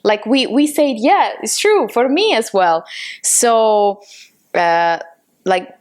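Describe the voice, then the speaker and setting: A woman's voice: fairly high pitch, animated, slow pace. A woman from Italy with an Italian accent, chatting casually as a podcast co-host, often searching for words with "like" and checking with "right?". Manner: hesitant phrasing with frequent "like" and tag questions. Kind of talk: casual chat.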